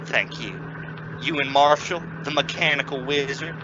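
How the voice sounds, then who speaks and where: A woman's voice: very deep voice, normal speed. A woman playing an exasperated, stubborn family father in an old-time radio sitcom, blustering, sarcastic and prone to stammer when cornered. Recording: muffled recording with clear noise and vehicle sounds.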